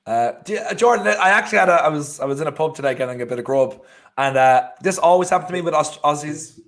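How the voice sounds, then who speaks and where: Chatty Irish man, higher voice. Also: expressive, quick pace, vocal fry, fairly bright tone. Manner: chatty, rambling, often restarts and trails off. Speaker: co-host of a football watchalong. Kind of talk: casual live match chat.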